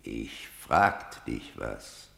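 A man's voice: rumbling low, big pitch swings, extremely slow, bright, forceful tone, roomy sound, exaggerated voice. An actor playing an old blind male seer, speaking in grave, cryptic verse. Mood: probing. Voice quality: gravelly, solemn declamation. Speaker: actor in radio drama. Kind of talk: stage verse drama.

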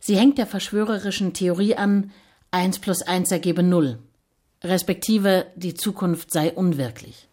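A woman's voice: fairly low pitch, unhurried, warm tone. An actress playing a formal, wry woman seminar presenter making an announcement in a radio play. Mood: dryly formal.

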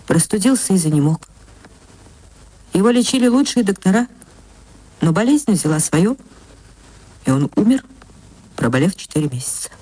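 A woman actress narrating a literary story for radio in a measured, theatrical storytelling manner. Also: fairly low pitch, mellow, husky, no room sound, rough recording.

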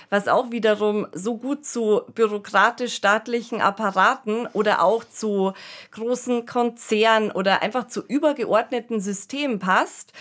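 A woman co-hosting a podcast, explaining things in an earnest, conversational way. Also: on the high side. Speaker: podcast co-host, expert commentator. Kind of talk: conversational discussion.

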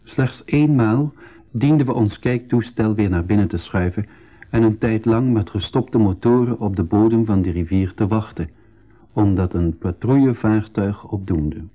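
An actor playing a young traveller who tells his own adventure in measured radio drama narration. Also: fairly low pitch, warm tone, lo-fi sound, lightly acted. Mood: calm narration. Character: young male traveller recounting his adventure, admiring, timid. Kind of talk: scripted first-person radio drama narration.

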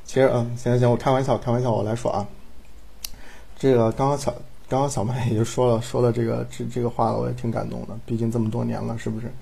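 A man speaking off the cuff in casual chat, halting, offhand and often repeating himself. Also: mid-range voice, level tone, vocal fry, mellow, slightly rough. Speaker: audio drama director.

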